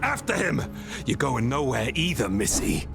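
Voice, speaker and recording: A man voicing a brutish, cocky villain henchman in a video game, with a gravelly, taunting, exaggerated delivery. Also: mid-range voice, sing-song, moderate pace, over music. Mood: mocking. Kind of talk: video game cutscene acting.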